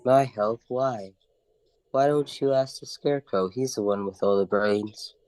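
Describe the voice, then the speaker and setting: An earnest tin man of the woods, voiced by a man. He speaks at a middling pitch, gentle and puzzled, in storybook radio-play style. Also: a little slow, close to an everyday voice. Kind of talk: amateur radio play acting.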